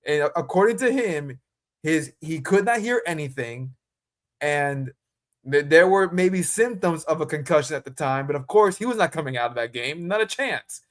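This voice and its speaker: A man in his twenties, a guest reporter on a sports podcast, talking emphatically and casually, often repeating himself. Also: high-pitched. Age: 20s.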